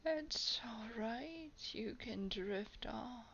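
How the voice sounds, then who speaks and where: A woman voicing a haughty, menacing old vampire in a roleplay audio drama, breathy and gravelly, drawing out words with soft condescension. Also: on the high side, lively intonation, a little hiss or hum, heavily acted. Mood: menacing soothing. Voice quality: breathy whisper with gravelly creak. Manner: slow, drawn-out, condescending, softly threatening. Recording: dull, lo-fi home microphone.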